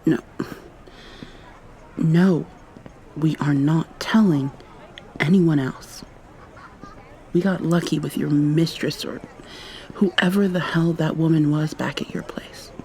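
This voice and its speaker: Woman acting a wary human fugitive, hushed urgent delivery, mellow soft tone, ASMR roleplay, noisy recording. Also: low-pitched, steady tone, slow, long pauses. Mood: tense, guarded. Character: wary human woman hiding in a vampire district.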